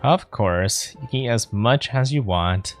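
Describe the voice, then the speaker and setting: Low-pitched woman streamer, casual. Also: lively intonation, unhurried, soft background music. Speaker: streamer playing a game. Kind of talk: casual let's play commentary, reading dialogue aloud.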